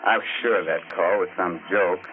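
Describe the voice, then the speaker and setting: An actor playing a macabre horror host and narrator, theatrical and tongue-in-cheek, in 1940s radio style. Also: male voice, low voice, unhurried. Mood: eerie storytelling. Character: macabre, sardonic horror host telling his ghostly tale. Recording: tinny 1940s radio recording with music.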